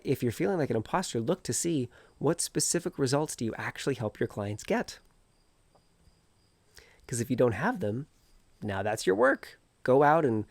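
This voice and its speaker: A man's voice: medium pitch, no room sound. Stuffy-nosed man giving earnest encouragement. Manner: earnest, encouraging, speaks directly to the viewer. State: stuffed-up nose from a cold. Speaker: energy healer and coach. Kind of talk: encouraging self-help video talk. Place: outdoors.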